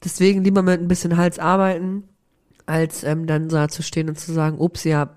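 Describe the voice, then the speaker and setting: A woman with a head cold co-hosts a relaxed podcast from her office. Her voice is rough and keeps cracking, and her nose sounds blocked. She talks in a lively, slangy, easygoing way. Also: fairly low pitch, brisk. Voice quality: hoarse, cracking voice. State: congested with a cold. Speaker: podcast co-host. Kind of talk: casual chat. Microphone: remote recording from an office.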